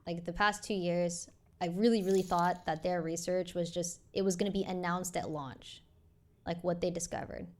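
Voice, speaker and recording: A young woman speaking softly and hesitantly, sharing her side of things on a live stream. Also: fairly low pitch, steady tone, moderate pace. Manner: soft-spoken, hesitant. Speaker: streamer addressing her audience. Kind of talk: personal live statement.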